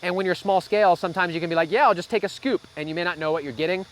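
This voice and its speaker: A man's voice: high-pitched, very fast, slight background noise. A man co-hosting a casual Q&A, explaining growing practices in a practical, folksy way.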